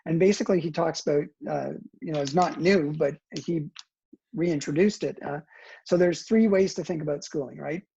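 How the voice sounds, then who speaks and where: Gravelly, husky man, native Italian speaker. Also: on the high side, normal speed, warm tone, muffled recording. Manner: thoughtful, hedging, frequent "you know" and "right?". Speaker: guest, education professor. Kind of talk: conversational interview answers.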